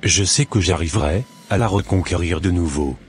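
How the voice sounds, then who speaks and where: A man playing a remorseful, lonely husband in a scripted cartoon drama, speaking in an earnest, deliberate way. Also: low voice, slight background noise, close to an everyday voice. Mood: regretful, yearning. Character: remorseful, lonely husband swallowing his pride. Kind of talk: scripted animated drama monologue and dialogue.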